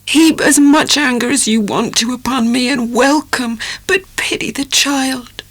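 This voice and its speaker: A woman playing an anguished young country mother in a dramatized audiobook, praying fervently in a breathy half-whisper. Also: high-pitched, unhurried, a bit bright. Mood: desperate pleading.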